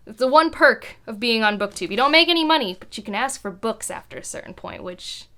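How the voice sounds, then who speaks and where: A woman's voice: fairly high pitch, lively intonation, fairly quick, sharp tone. Chatty, enthusiastic woman book vlogger. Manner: chatty, rambling with asides. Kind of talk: casual chat to camera about books.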